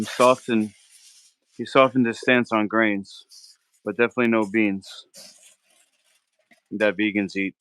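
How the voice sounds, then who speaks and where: A man's voice: fairly low pitch, fairly bright tone, a little hiss or hum, rough recording. A man hosting a live cooking stream, rambling casually in slang and arguing with his chat as he cooks. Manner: slangy, argumentative, keeps saying bro. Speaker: cooking livestream host.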